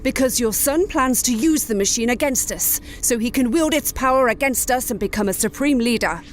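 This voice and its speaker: A woman's voice: on the high side, fairly quick, slight background noise, close to an everyday voice. A woman playing an imperious, ruthless wolf mother, formal, commanding and menacing, in a sci-fi audio drama. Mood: accusing.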